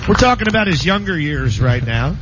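High-voiced male sports radio host. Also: big pitch swings. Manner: jokey, reads sponsor copy briskly. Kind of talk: live sports radio talk with banter. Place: radio broadcast set at a casino. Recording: slightly dull radio studio audio, faint noise.

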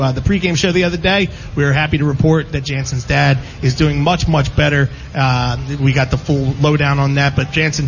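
A man hosting a live sports radio show, bantering and rolling through long introductions. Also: fairly high pitch. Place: live remote broadcast at a casino. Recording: dull lo-fi broadcast with faint background noise.

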